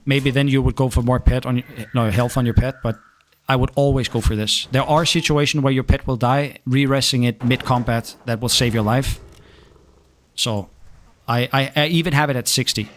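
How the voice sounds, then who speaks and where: A man's voice: mid-range voice, slight background noise. A young man from Denmark with a Danish accent, chatting casually while streaming a game and answering viewer questions, often stopping to restart his sentences. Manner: halting, restarts often, relaxed. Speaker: gaming streamer.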